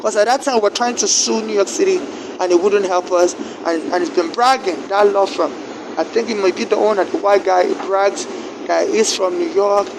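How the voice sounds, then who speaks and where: A man from Nigeria with a Nigerian accent, whose first language is not English, giving a personal account in a halting way, repeating words and restarting. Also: high voice, creaky, noisy background.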